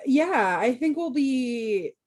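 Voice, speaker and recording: A woman's voice: sits high, animated, slow pace, a little mellow. A woman co-hosting a podcast, chatting casually and playfully and joking often. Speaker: podcast co-host. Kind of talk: casual chat.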